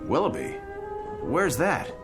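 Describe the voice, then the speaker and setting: A lively, chummy American bartender. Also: male voice, on the high side, takes it slowly, over loud music, heavily acted. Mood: casually curious. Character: easygoing, chummy male club car bartender. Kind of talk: radio drama acting.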